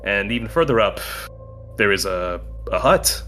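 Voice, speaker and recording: A man's voice: on the low side, animated, moderate pace, sharp tone, faint music behind. A man talking casually as a player in a tabletop role-playing game, describing things in a conversational way. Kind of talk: casual chat, describing his character's actions.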